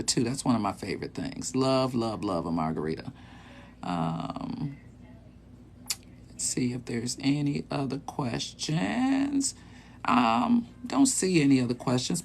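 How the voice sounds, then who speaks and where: A man in his late fifties who hosts a live stream as a sexologist, chatting casually with viewers in an easygoing, rambling way with lots of ums. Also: mid-range voice. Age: 50s. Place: small bedroom closet.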